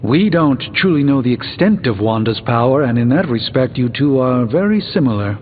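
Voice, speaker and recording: An actor playing a learned, composed sorcerer in a video game, speaking formally and articulately with dry wit. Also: male voice, mid-range voice, animated, normal speed, narrow, tinny audio, a little hiss or hum, close to an everyday voice. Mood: measured, grave. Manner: articulate, formal, dryly wry. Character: learned, composed, dryly witty adult male sorcerer. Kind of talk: video game character dialogue. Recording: thin band-limited audio under loud music.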